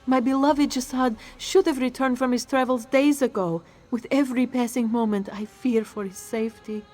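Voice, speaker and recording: Fantasy game role, female, acted: worried, mourning beloved, bitter edge, slightly high voice, faint score behind. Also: steady tone, normal speed, mellow, soft background music, lightly acted. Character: anxious, grieving, bitter woman missing her beloved. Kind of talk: scripted fantasy game drama.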